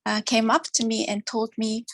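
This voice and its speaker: A woman's voice: a little high, little pitch movement, moderate pace, fairly bright tone, dry recording, studio quality. A woman from Hawaii asking a question at an online talk, hesitant with many fillers. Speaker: audience member asking a question.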